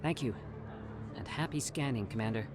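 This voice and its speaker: An actor voicing an eager, evasive alien scientist in a video game, with a persuasive, self-justifying manner. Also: male voice, on the low side, normal speed, close to an everyday voice. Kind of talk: video game character acting.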